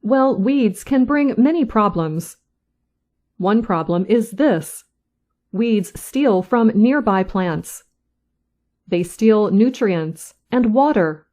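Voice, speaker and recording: Slow-reading woman news reader. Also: mid-range voice, animated, darkish tone. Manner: slow, careful, clear delivery. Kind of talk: slow scripted reading for learners.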